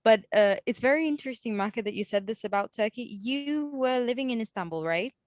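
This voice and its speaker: A woman with a smooth, resonant voice chats on a podcast, recorded dry and close with no room sound. She talks conversationally and asks the others follow-up questions. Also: fairly high pitch, lively intonation, brisk, no echo. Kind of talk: casual podcast discussion.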